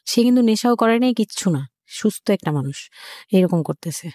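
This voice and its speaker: A Bangladeshi woman as an interview guest, telling a personal story conversationally and candidly, with halting self-corrections. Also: medium pitch, expressive, mellow, no room sound.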